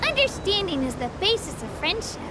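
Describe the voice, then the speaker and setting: Very high, childlike creature tour guide. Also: female voice, sing-song, a little fast, heavy background noise. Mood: friendly, earnest. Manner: cute, polite, earnest, childlike enthusiasm. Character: small, cheerful, earnest childlike female Melusine tour guide creature. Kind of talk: scripted video game character dialogue, guided tour narration. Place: studio voice recording with music behind.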